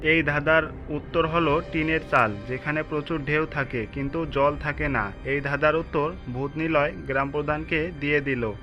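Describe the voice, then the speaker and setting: A man's voice: a little high, fairly even intonation, moderate pace, muffled recording. A man narrating a scripted cartoon story, with music playing behind his voice.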